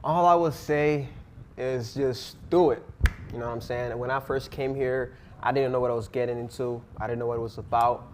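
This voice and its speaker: A man's voice: mid-range voice, fairly even intonation, moderate pace, darkish tone, slight background noise. A teenage boy who speaks English as a second language, answering interview questions in an earnest, rambling way with fillers. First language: not English. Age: teens. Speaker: interviewee, student actor.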